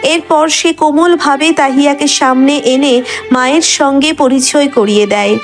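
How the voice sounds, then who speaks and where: High-voiced woman narrating a story over music. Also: unhurried. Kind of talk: scripted dramatic story narration. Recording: background music under the voice.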